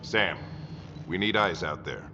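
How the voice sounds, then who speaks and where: Casting: stern middle-aged game commander, very low voice, terse authority, muffled sound. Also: male voice, a little slow, background noise. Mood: stern, resolute. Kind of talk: sci-fi video game acting. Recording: muffled game audio under loud music.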